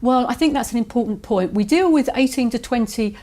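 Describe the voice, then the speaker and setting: A woman who runs a property agency, answering interview questions in a measured, businesslike way with some hesitations. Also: a little high, warm tone.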